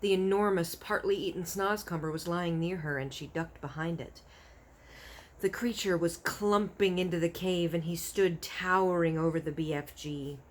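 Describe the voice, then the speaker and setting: Low-voiced woman reading a storybook. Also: fairly even intonation, normal speed, slight background noise. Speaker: storybook reader. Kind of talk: expressive read-aloud narration of a children's story.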